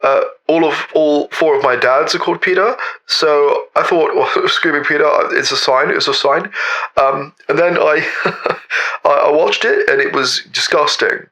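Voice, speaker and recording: Man playing a bright-voiced spaced-out gap-year guy. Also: a little high, measured pace, voice pushed up. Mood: deadpan disgust. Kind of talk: mock documentary interview, comic improvised monologue.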